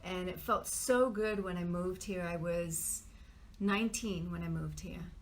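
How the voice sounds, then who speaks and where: A woman's voice: fairly low pitch, measured pace, a little hiss or hum. A woman from the English Midlands, a guest coach telling her personal story in casual conversation. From Nottinghamshire, England. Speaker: guest, business coach and author.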